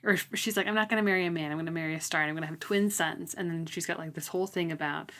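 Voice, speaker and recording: Casual woman podcaster with a lowish voice. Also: very fast, a bit bright. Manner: informal, frequent "like" as filler. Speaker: podcast co-host. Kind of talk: casual book discussion chat.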